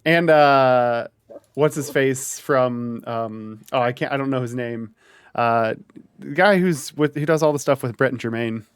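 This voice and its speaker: A man's voice: medium pitch, a little slow, clear, resonant tone, no room sound, clean studio sound. A man streaming a game, chatting casually with friends, joking and laughing often. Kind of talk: casual gaming chat.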